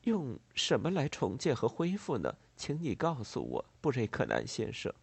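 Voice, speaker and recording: A man voicing a composed, proud, tender gentlewoman in a storybook, speaking in a measured, earnest and formal way. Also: medium pitch, wildly swooping pitch, gravelly, slightly rough, no room sound, heavily acted. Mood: composed, impatient.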